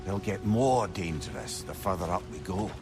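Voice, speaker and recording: Give me a lively, sardonic old-timer. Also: male voice, deep voice, moderate pace. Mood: wry. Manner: sardonic, chatty, dry wit. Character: wry, talkative old Scottish sidekick. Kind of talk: video game voice acting. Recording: game music behind the voice.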